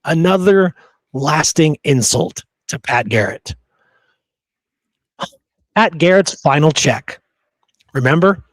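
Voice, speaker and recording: A man's voice: fairly high pitch, expressive, slow, pauses often, no echo. A man hosting a podcast, talking casually about history in an emphatic, opinionated, rambling storytelling way. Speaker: podcast host.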